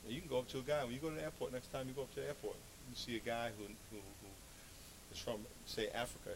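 A male preacher giving a conversational, anecdotal sermon, speaking in an animated, emphatic way and repeating phrases to make his point. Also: mid-range voice, quick pace, noisy background.

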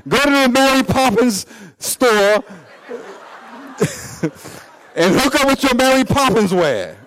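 A man in his fifties, a pastor preaching a blunt, joking sermon to his congregation, with swinging pitch and sudden pace shifts. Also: sing-song, slow, a bit bright, low-quality recording. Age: 50s.

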